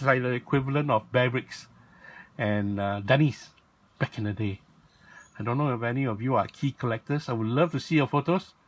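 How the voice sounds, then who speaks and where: A man with a raspy voice, talking casually and rambling as he shows off a toy in a video. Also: mid-range voice, rough recording. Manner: rambling, self-correcting, lots of fillers. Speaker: toy blogger and vlog host.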